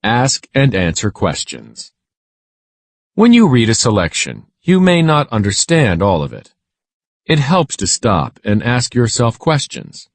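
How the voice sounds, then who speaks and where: A man reading a scripted children's lesson aloud in a measured, instructional way. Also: mid-range voice, huge pitch swings, dry recording.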